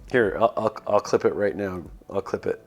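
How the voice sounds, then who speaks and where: A man live-streaming a visual novel, reading text aloud and chatting in a self-deprecating way as he thinks out loud. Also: fairly low pitch, moderate pace, soft background music. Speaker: game streamer.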